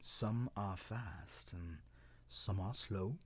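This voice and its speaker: A man doing a slow, deep old cedar tree. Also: slightly rough, full character voice. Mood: gentle. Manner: slow, calm, matter-of-fact. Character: very old, wise male cedar tree, gentle.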